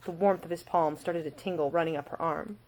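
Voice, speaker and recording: A woman reading her own fiction as an audiobook, in an expressive storytelling manner. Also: low voice, a little fast. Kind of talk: scripted fiction narration.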